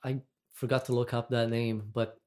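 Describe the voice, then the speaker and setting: Brazilian-accented man, podcast guest, chatty and rambling, mid-pitched everyday voice. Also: little pitch movement, moderate pace, a little mellow. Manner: chatty, rambling, with frequent restarts and laughs. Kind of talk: casual chat.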